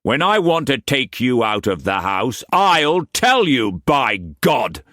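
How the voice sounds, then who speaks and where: A man's voice: medium pitch, big pitch swings, slow pace, pushed and bright, no echo, clean studio sound, pitched above the performer's own voice, full character voice. A man voicing a furious, domineering master who barks harsh commands at his household. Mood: stern, cold.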